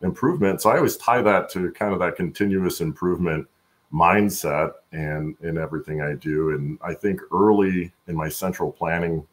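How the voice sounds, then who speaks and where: A middle-aged man with a gravelly voice, answering conversationally as an interview guest on a video call. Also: sits low, moderate pace. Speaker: podcast guest, supply chain executive. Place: indoor room at home, on a video call.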